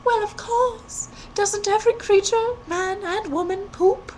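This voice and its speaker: A woman voicing a sweet, sorrowful young peasant maiden in a storybook tale, earnest and breathy. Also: a little slow, a little mellow, a little hiss or hum, poor audio. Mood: matter-of-fact. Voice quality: high, breathy.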